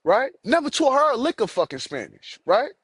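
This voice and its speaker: A man's voice: extremely high pitch, very animated, moderate pace, fairly bright tone, breathy edge, no room sound, studio quality. A man hosting a solo podcast, ranting angrily and repeating himself as he swears. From United States. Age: adult, has children. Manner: angry, profane, repeats himself. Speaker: podcast host.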